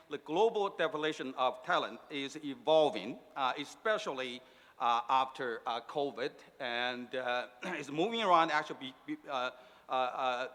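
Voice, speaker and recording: Middle-aged guy from china, bright tense voice, lots of uhs and restarts, big hall reverb. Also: medium pitch. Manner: halting, many fillers and restarts. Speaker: conference panelist, professor. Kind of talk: conference panel talk. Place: speaking remotely into a large event hall.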